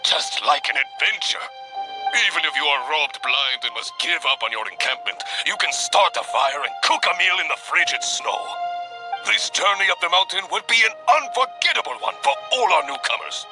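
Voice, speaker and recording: An actor playing a hearty middle-aged adventurer in a video game, grandiose, jovial and long-winded. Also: male voice, a little high, expressive, moderate pace, poor audio. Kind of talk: video game cutscene dialogue. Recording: music behind the voice.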